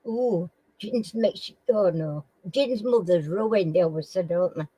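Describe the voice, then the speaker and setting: A middle-aged woman from Sheffield with a Sheffield accent, hosting a casual live chat with viewers in a slow, homely way and often clearing her throat. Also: lowish voice, mellow, no echo, low-quality recording. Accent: Sheffield English. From Sheffield, England. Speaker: live stream host.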